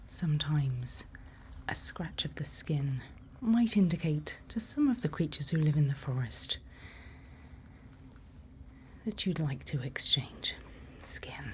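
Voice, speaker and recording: Asmr lady, mellow and whispery, really unhurried, gently explaining stuff in roleplay. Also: sits low, a little hiss or hum. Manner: gentle, unhurried, reassuring instruction. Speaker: ASMR roleplay performer playing a night watch guide.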